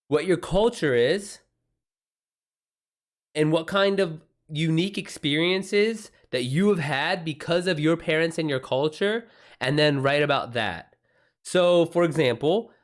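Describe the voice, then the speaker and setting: A man hosting a livestream about writing, chatting casually and unscripted, thinking aloud with informal slang. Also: a little high. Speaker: livestream host and author giving writing advice.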